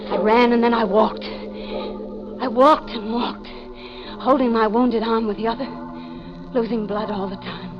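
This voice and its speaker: A woman's voice: on the high side, steady tone, unhurried, pauses often, close to an everyday voice. An actress playing a frightened, desperate woman who narrates her own mystery in dramatic 1940s radio style. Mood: tense, haunted. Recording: muffled 1940s radio recording. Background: dramatic music.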